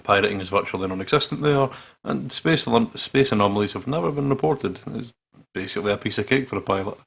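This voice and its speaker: Husky, gravelly man reading a game aloud. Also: fairly low pitch, fast, fairly bright tone. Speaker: let's play host reading the game aloud. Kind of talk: dramatic read-aloud with joking commentary.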